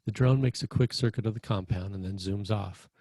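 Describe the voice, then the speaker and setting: Casting: male screenplay narrator, dark relaxed tone, dry recording, straightforward scripted delivery. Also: lowish voice, fairly quick, no echo. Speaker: stage-direction narrator.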